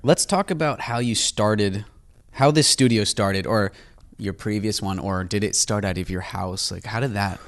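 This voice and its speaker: A man's voice: on the low side, moderate pace. A man hosting a podcast interview, with short encouraging replies. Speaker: podcast host. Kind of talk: casual interview talk.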